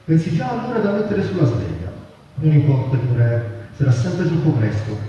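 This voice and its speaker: A man's voice: fairly high pitch, very animated, brisk, mellow. A man giving a literary reading aloud at a public event. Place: large echoey venue at a public festival. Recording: dull, distant, very echoey, background noise.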